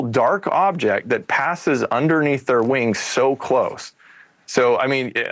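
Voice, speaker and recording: A man's voice: medium pitch, a bit bright, no room sound. American man, explanatory expert guest, dry sound. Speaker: expert guest, UFO investigator and former federal agent. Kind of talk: explanatory interview talk.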